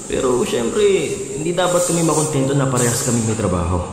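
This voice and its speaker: A man's voice: mid-range voice, very animated, quick pace, background noise. An actor playing a thrifty, dutiful but easily exasperated husband and father in a Filipino radio drama. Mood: apologetic, firm. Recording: very echoey hall, music behind.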